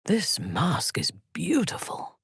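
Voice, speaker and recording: Husky, imperious dark elf warrior woman. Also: lowish voice, wildly swooping pitch, no room sound, clean studio sound, exaggerated voice. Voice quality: husky, breathy. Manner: imperious, deliberate, formal diction. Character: haughty, ruthless drow elf warrior woman, commanding and menacing. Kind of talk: video game voice acting, dramatic character lines.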